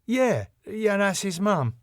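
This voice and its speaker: An awkward teenage boy in a sitcom, voiced by a man. He sounds naive and hesitant, pitched a little high, in dry close sound. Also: sing-song, takes it slowly, no echo, exaggerated voice. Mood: sheepish, frank.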